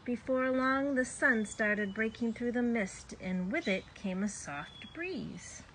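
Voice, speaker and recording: A woman's voice: a little high, animated, slow, a bit bright, slight background noise, low-quality recording. A woman reading a children's story aloud expressively, drawing out words for young listeners.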